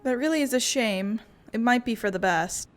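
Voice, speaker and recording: A woman's voice: fairly high pitch, normal speed, faint music behind. A woman playing a video game, reading dialogue aloud with casual asides.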